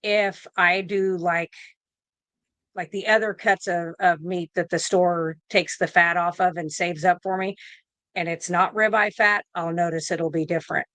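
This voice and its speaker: A woman's voice: lowish voice, fairly even intonation, unhurried, fairly bright tone, no room sound. A woman in her fifties, a nurse answering questions in a casual video-call interview, rambling with fillers and restarts. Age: 50s. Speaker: guest nurse.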